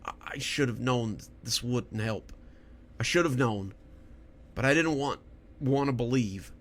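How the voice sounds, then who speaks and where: A man's voice: mid-range voice, measured pace. A man voicing a confused, desperate male writer who stammers and pleads in a horror audio story. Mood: defeated.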